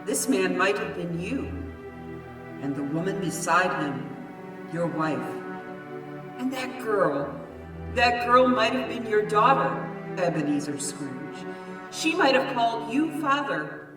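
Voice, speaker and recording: A woman's voice: mid-range voice, animated, slow pace, music in the background. A woman playing a solemn, relentless spirit, intoning portentously in an old-time radio drama. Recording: long hall reverb, distant.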